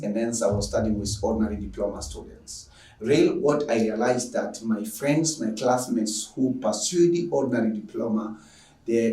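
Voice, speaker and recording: A man's voice: fairly low pitch, mellow. A man from Tanzania with a Tanzanian accent, introducing an online lecture as a teacher with emphatic, repetitive phrasing. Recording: echoey room, distant microphone.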